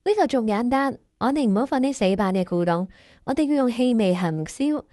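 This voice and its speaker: A woman's voice: fairly high pitch, expressive, brisk, warm tone, dry recording, clean studio sound, lightly acted. A woman playing an eager, anxious junior employee who also presents a comedic workplace radio drama. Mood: confident pitching.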